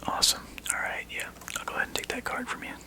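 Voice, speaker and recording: A man speaking softly and breathily close to the microphone in an ASMR roleplay, playing a laid-back, wry video game store clerk who chats casually with a customer. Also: fast talker. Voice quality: breathy whisper and soft low murmur. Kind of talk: ASMR roleplay, one-sided customer chat.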